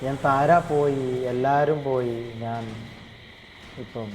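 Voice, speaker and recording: A man streaming a game live, chatting casually, low on energy and sick with a cold. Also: steady tone, slow pace, big-room sound. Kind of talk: casual in-game roleplay chat on a livestream. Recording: noisy game livestream audio.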